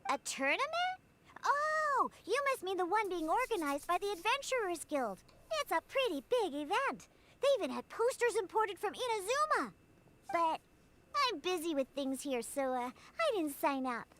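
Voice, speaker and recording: A woman's voice: very high-pitched, sing-song, normal speed, a bit bright, exaggerated voice. A woman voicing a young, feisty, curious cat girl in an anime game, perky and a little bossy. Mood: thoughtful.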